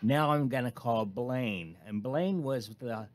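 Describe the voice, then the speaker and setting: A man's voice: medium pitch, takes it slowly. A man who co-hosts radio, telling a personal story in a candid, casual, conversational way. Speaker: radio co-host.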